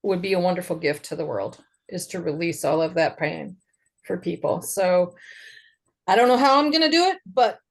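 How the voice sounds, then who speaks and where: Woman, slightly low pitch, hesitant, soft, lots of fillers, video call. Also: lively intonation, normal speed. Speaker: event attendee.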